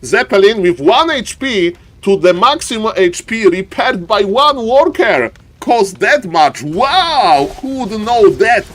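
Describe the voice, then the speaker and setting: A man with a Polish accent doing live strategy game commentary and chatting to viewers, excitable and repeating phrases for emphasis. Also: sits high, slow pace, hardly any pauses. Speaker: esports caster and live streamer.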